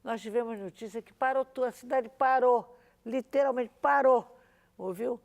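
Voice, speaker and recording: A woman's voice: on the high side, moderate pace, gravelly, a little mellow. An older woman, a radio drama veteran, reminiscing emphatically and seeking agreement. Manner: emphatic, repeats points, seeks agreement. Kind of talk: reminiscing in conversation.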